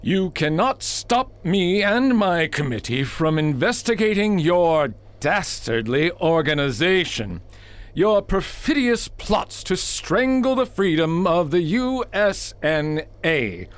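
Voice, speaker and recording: A man's voice: high voice, very animated, slow pace, clear, resonant tone, heavily acted. An actor playing a pompous, power-hungry male senator in a radio drama, grandiose and blustering, as if always making a speech. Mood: outraged. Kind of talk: old-fashioned radio drama acting.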